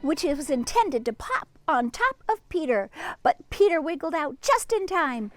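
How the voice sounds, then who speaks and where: A woman with a high voice reads a picture book aloud to young children. Her delivery swings up and down expressively, with chatty teacherly asides. Music plays faintly along with her. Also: measured pace, dry recording. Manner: expressive, teacherly, addresses young listeners with questions. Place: outdoors in a garden.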